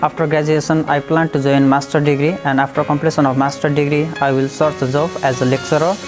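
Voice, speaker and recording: A man's voice: fairly high pitch, little pitch movement, quick pace. A young man from Nepal with a Nepali accent, giving a scripted student testimonial. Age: young adult. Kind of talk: scripted self-introduction. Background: guitar music.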